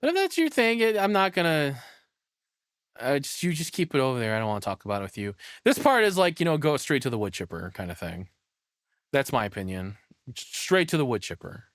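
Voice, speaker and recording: A man's voice: mid-range voice, sing-song, a little fast, fairly bright tone, no echo. A man reacting casually as a streamer, blunt and crude in how he talks. His mid-range voice moves up and down a lot. The recording is close and dry, with no room sound. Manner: blunt, crude, swears freely.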